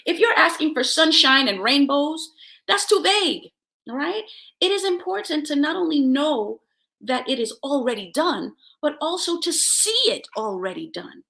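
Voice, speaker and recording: High, animated woman with bright delivery. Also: moderate pace, creaky. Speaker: host of a self-help show. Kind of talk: motivational talk with personal stories, addressed to viewers.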